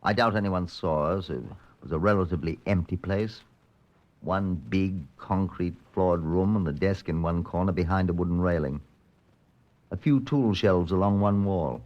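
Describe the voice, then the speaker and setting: An actor playing a dry, steady chief inspector in a 1950s radio crime drama, clipped and understated. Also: male voice, low-pitched, normal speed, natural voice. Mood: measured narration. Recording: muffled, hissy old-time radio recording.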